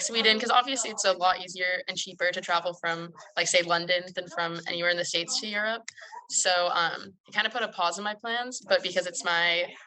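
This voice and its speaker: A woman's voice: on the low side, bright, forceful tone, low-quality recording. A young woman student chatting casually about her own experience. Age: young adult. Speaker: student panelist. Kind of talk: casual chat.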